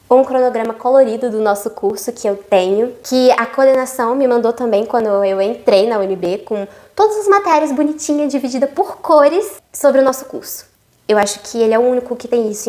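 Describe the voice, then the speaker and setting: A young woman with a Brazilian accent, a university student chatting to her viewers in a casual explanatory vlog, with lively asides. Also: a little high. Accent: Brazilian Portuguese. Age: young adult.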